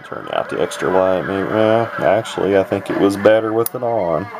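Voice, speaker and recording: Male hobbyist, deep voice, halting off-the-cuff demo delivery, very noisy recording. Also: vocal fry. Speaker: radio hobbyist demonstrating equipment. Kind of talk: casual unscripted product walkthrough. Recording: heavy radio static and background noise.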